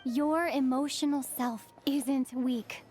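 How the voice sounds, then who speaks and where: A woman acting a calm, supportive female companion in a dramatic video game scene. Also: high-pitched, slow pace, soft background music. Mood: reassuring, firm.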